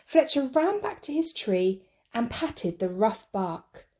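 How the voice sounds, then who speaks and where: Slow, expressive woman storyteller. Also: fairly high pitch. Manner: measured, deliberate phrasing. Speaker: storyteller for children. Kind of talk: expressive picture-book narration.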